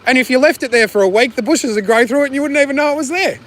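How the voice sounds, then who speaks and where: An Australian man in a casual argument, talking loudly and often cutting in to make his point. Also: very high-pitched, rapid-fire. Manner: interjecting, loud. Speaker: resident, video maker. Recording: outdoors with faint vehicle noise.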